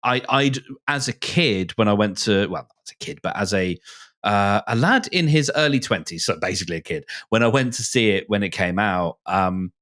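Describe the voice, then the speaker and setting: Middle-aged man in halting, enthusiastic discussion. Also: fairly low pitch, animated, a bit bright. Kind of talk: casual discussion of books and films.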